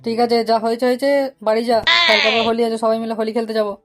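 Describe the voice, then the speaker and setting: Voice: medium pitch, fairly even intonation, very fast, lightly acted. A performer voicing a bossy, slangy older-brother pal in a comedy cartoon. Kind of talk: Bengali comedy cartoon dialogue. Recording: loud music under the voice. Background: loud music.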